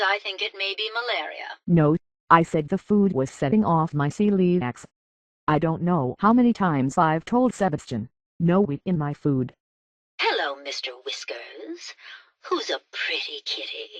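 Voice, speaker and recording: A woman's voice: lowish voice, no room sound, muffled recording. A woman voicing a haughty, petulant rich heiress in a robot body for a video game, with pompous, scornful, theatrical diction through a metallic filter. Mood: petulant, complaining, then doting.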